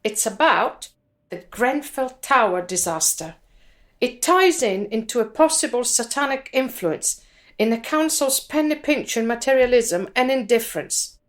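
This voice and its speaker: A woman acting in a radio drama as an earnest budding performance poet, speaking deliberately. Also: a little high, expressive.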